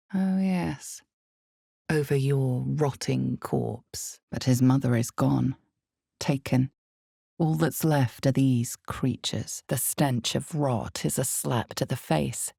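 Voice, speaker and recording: Ominous, knowing female narrator for a dark fantasy game: heavy vocal fry, mellow and dark tone, theatrical delivery, close and intimate. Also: rumbling low, dry recording, exaggerated voice. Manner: deliberate, theatrical, intimate storytelling. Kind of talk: dramatic scripted fantasy narration.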